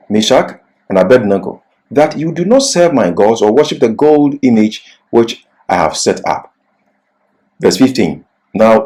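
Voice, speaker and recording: A man giving an expository Bible sermon and reading scripture in an emphatic, deliberate, didactic manner. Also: mid-range voice, warm tone, poor audio. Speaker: Bible teacher.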